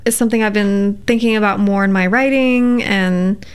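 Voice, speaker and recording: A woman, a poet, talking in a relaxed interview and choosing her words thoughtfully, with many fillers. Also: medium pitch, normal speed, clean tone. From United States. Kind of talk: casual interview conversation.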